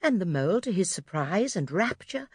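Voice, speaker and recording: A woman's voice: lowish voice, big pitch swings, moderate pace, a little mellow, breathy edge, no room sound. A woman narrating a children's audiobook expressively from a script, close to the microphone. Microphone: close studio microphone.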